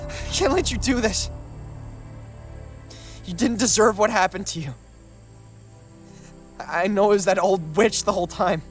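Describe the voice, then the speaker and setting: A man's voice: sits high, unhurried, pauses often, soft background music. An actor playing an angry, desperate young man in a dramatic horror video game cutscene. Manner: foul-mouthed, emotional, confrontational.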